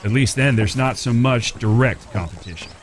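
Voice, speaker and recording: A man's voice: mid-range voice, moderate pace, a little hiss or hum, natural voice. Guy playing a smitten polite cowboy drifter, western american accent, folksy, medium voice, radio play with animal ambience in back. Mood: advising. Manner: polite, folksy, old-fashioned courtly speech. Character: courteous, smitten Old West drifter with a rough past. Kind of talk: audio drama acting. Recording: clear background ambience with animal sounds.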